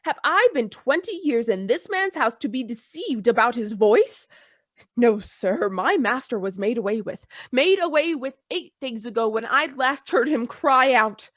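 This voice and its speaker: A woman's voice: fairly high pitch, animated, measured pace, a bit bright, no room sound, studio quality. A woman playing a frightened, loyal and deferential old household servant in a Gothic radio play. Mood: indignant, alarmed. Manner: deferential, agitated, emphatic.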